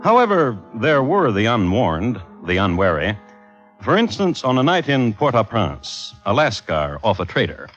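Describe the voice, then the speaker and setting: A man's voice: mid-range voice, wildly swooping pitch, a little slow, faint music behind. A man narrating an old-time radio drama as a wry storyteller with dry humour. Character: wry male storyteller of a crime tale. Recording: dull vintage radio recording.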